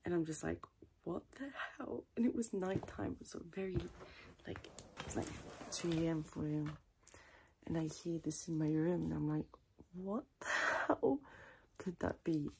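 A woman softly improvising an ASMR personal attention role play as a tailor, chatting in a rambling, chaotic way close to the microphone. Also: fairly low pitch, moderate pace, creaky, noisy background. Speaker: ASMR creator playing a tailor.

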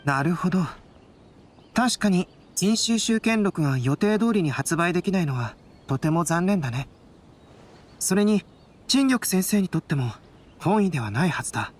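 A man voicing a calm, analytical young scholar-artist in an anime game, speaking in a composed, polite way. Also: high-pitched, moderate pace. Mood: thoughtful.

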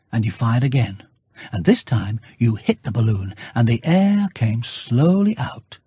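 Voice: mid-range voice, darkish tone, slightly rough, no echo, clean studio sound. A narrator reading a children's storybook in a scripted storytelling style.

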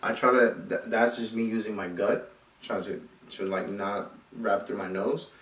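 A man's voice: fairly low pitch, fairly even intonation, moderate pace, a bit bright. A young rapper talking casually in a small home studio, agreeable and earnest as he explains his choices. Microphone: far camera. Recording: echoey room from far off.

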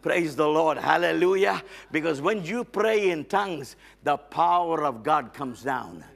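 A middle-aged man preaching a lively sermon as a guest on a church stage, loud and excitable, with frequent amens and hallelujahs. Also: fairly high pitch, measured pace, vocal fry. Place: church stage with band instruments.

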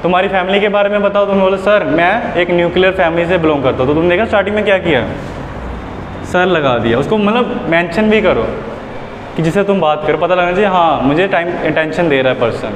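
A young man explains an English lesson to students, switching between Hindi and English and repeating examples patiently. His voice sits a little high and reaches a distant camera through an echoey classroom. Steady room noise sits under him. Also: fairly quick. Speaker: English teacher. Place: classroom with whiteboard. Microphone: far camera.

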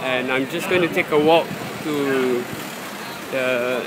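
Halting man on a noisy street. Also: medium pitch. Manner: halting, searching for words. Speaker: amateur video maker filming a street scene. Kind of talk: unscripted on-the-spot commentary. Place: outdoors on a busy city street. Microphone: handheld phone or camera, held by the speaker. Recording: noisy busy city street, traffic and crowd.

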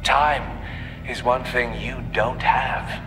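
An actor playing a sadistic, taunting supervillain in a video game, theatrical, gloating and menacing. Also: male voice, mid-range voice, fairly even intonation, takes it slowly, long reverb tail, music in the background. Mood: gloating menace. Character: sadistic, taunting male supervillain who loves riddles. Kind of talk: video game cutscene acting.

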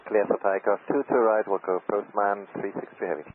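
A man's voice: lowish voice, fairly even intonation, brisk. A man flying as an airline pilot, polite and apologetic on the radio. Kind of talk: radio cockpit exchange. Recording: tinny radio transmission with faint hiss.

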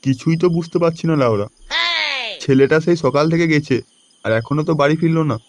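Man playing a blustering cartoon father, fairly high-voiced. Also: fast, darkish tone, a little hiss or hum, natural voice. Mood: worried, irritated. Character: crude, anxious balding village father in comedy cartoon. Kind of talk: Bengali comedy cartoon dubbing.

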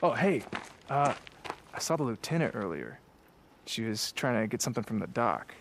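Chatty, slangy stoned young guard. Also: male voice, medium pitch, sing-song, normal speed, slight background noise. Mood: loose, joking. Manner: slangy, loose, joking. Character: cocky, chatty young male militia guard, slightly stoned. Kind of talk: video game cutscene acting.

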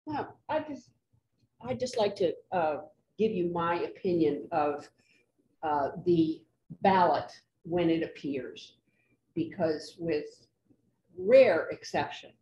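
A woman in the audience giving her opinion slowly and deliberately. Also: fairly low pitch, animated, warm tone, a little hiss or hum. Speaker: audience member. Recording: dull echoey room from far off.

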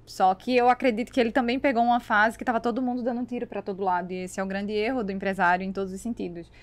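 A woman's voice: medium pitch, little pitch movement, rapid-fire. A Brazilian woman in her thirties explains conversationally as a podcast guest, emphatic, repeating key words into a studio mic. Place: small foam-lined podcast studio. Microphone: studio microphone on boom arm.

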